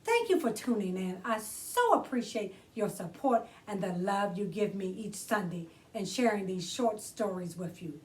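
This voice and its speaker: A woman's voice: medium pitch, expressive, moderate pace, hardly any pauses, darkish tone, far from the mic. A woman hosting a children's storytime, reading a storybook aloud expressively and addressing young listeners directly in a theatrical way.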